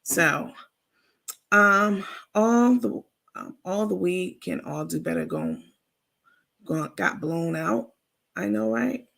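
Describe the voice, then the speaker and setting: A woman with a raspy voice hosting a casual livestream, chatting informally with viewers and reading out their comments. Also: medium pitch, big pitch swings, slow pace, pauses often, fairly bright tone. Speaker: livestream host.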